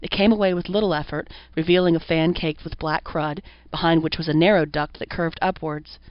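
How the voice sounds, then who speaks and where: A woman reading a horror story as an audiobook narrator, in scripted narration. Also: fairly low pitch. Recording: roomy echo, dull lo-fi sound.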